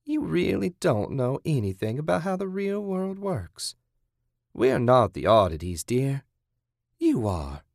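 Man voicing a sneering, sadistic backwoods woman, pitched up. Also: big pitch swings, unhurried, gravelly, no room sound, studio quality. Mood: mock sweet. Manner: sneering, lecturing, sugary condescension. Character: sadistic cannibal woman, mocking, self-righteous, folksy. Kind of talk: audiobook horror character voice.

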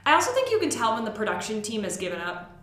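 A woman's voice: on the high side, wildly swooping pitch, fast, fairly bright tone. A woman co-hosting a podcast in a casual panel discussion. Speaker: podcast co-host. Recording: echoey room sound.

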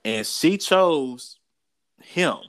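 A man's voice: on the high side, animated, very slow, a bit bright. An American man co-hosting a casual sports talk show, giving opinions in an emphatic, colloquial way and repeating phrases for effect.

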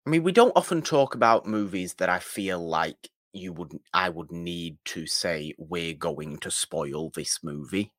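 A man co-hosting a film podcast, casually giving his opinions in a halting but emphatic way. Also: low voice, expressive, fairly bright tone, no room sound, clean studio sound. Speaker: podcast co-host.

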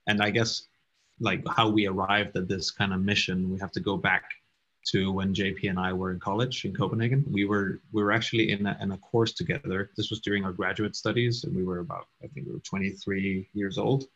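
A young man from Denmark, a startup co-founder talking casually in an interview, quick and stumbling over repeated words. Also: low voice, steady tone, a bit bright. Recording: dull lo-fi audio.

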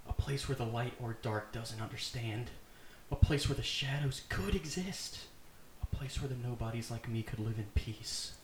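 Man, poetry reading, middle pitch, echoey room, clear background noise. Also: moderate pace. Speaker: podcast participant. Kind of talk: reading amateur poetry aloud. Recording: echoey, noisy room, distant from mic.

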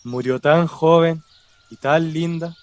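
A man's voice: fairly high pitch, steady tone, slow, dry recording. A man with a Chilean accent acting in a radio drama as a respectful, superstitious cemetery worker. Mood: earnest, solemn. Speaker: actor.

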